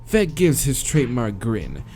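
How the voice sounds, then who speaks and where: A man's voice: mid-range voice, huge pitch swings, unhurried, a little mellow, slight background noise. A man reading a visual novel aloud as dramatic narration, with casual, exasperated asides.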